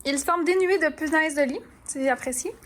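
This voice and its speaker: A high-pitched young woman with a Québécois accent chats to her camera in a playful travel vlog. Faint noise hums under her voice. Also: a little fast, fairly bright tone. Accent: Québécois French. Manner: chatty and playful, lots of fillers. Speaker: vlogger. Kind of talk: casual vlog narration. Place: hotel room and shops on a trip.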